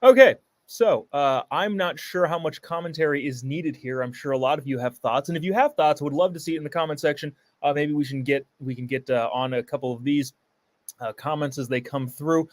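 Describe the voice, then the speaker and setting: A man's voice: fairly high pitch, brisk, dry recording. A man hosting a live show, giving sarcastic commentary on a clip and reading viewer comments aloud.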